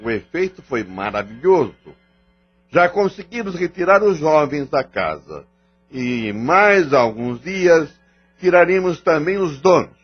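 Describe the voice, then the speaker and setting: A man's voice: a little high, sing-song, measured pace, breathy edge, full character voice. An actor playing a proud, cunning malevolent male spirit, a gang chief, defiant and boastful in an audio drama. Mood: grudging, proud. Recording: muffled lo-fi audio drama recording. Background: background music.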